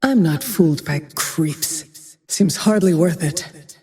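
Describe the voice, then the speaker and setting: An actress voicing a grim, vengeful frost archer in a video game, with cold, terse, menacing delivery and a breathy tone that sometimes drops to a whisper. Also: female voice, lowish voice, animated, slow, warm tone, faint music behind. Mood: grim disdain. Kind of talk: video game character voice lines. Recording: long cavernous reverb tail.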